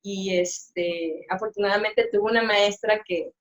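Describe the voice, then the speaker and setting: A woman's voice: medium pitch, steady tone, a little slow. Hesitant woman, interpreter. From Mexico. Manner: hesitant, many fillers and restarts. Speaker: sign language interpreter, guest. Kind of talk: live-stream interview, casual chat.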